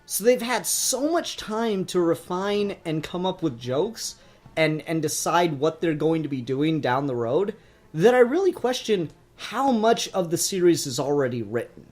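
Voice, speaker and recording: A man's voice: a little high, expressive, unhurried, a little mellow. Emphatic, sweary guy talking fandom. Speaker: podcast co-host. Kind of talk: casual fan discussion.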